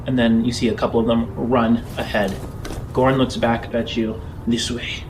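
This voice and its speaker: A man narrating a tabletop space game as game master, improvising scene descriptions in halting, informal phrases. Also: medium pitch, level tone, background noise. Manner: halting descriptions padded with "like" and "kind of".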